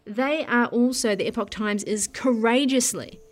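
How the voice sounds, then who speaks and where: A woman's voice: a little high, moderate pace, soft background music. Earnest Kiwi woman with an Australian accent. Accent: Australian-tinged New Zealand. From New Zealand. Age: 30s. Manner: earnest, passionate, uses fillers like "you know" and "look". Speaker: news show host. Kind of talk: casual interview talk.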